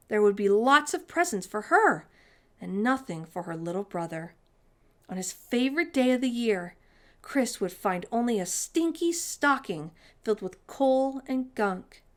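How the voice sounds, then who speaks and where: A woman reading a children's picture book aloud in an expressive storytime narration style. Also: a little high, unhurried.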